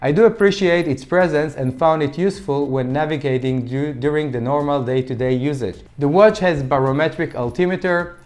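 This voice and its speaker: A man's voice: on the high side, fairly quick, few pauses, darkish tone. A man whose first language is Hebrew, reviewing a sports watch in a plain, methodical voice-over with occasional stumbles. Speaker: gear reviewer.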